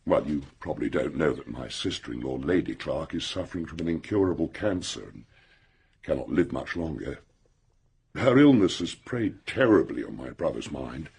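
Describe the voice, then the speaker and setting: A blunt, well-bred English gentleman in a radio mystery. His voice is low and very husky, swinging widely in pitch as he speaks in a clipped, forthright way. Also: expressive, moderate pace, heavily acted. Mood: grave, forthright. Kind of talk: radio drama acting.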